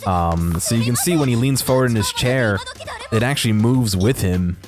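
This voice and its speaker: A man's voice: mid-range voice, normal speed, music in the background. A man giving casual, unscripted video game commentary, hesitantly thinking aloud as he goes.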